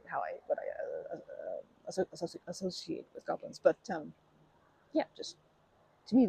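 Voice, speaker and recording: A woman acts out a shy, kind young woman in an ASMR comfort roleplay. Her voice is very breathy and mellow with some creak, and she stammers, rambles and puts herself down in a flustered, nervous way. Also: sits low, exaggerated voice, low-quality recording. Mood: rambling, playful. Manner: stammering, self-deprecating, rambling with nervous giggles.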